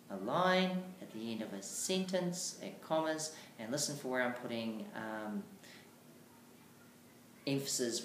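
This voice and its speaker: Teacher, mid-pitched voice, deliberate and emphatic lesson delivery, clear pauses. Echoey room, faint noise. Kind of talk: instructional lesson on reading aloud.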